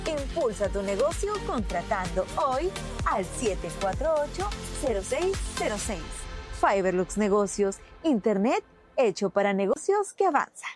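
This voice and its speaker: A woman's voice: medium pitch, sing-song, measured pace. Peppy ad lady, bouncy voice, music under it. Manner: upbeat, persuasive. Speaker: ad announcer. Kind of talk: commercial read.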